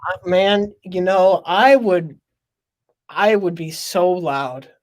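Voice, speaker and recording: A man from Minnesota hosting a casual live stream, talking loudly and laughing often. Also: high voice, takes it slowly. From Minnesota, USA. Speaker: podcast host.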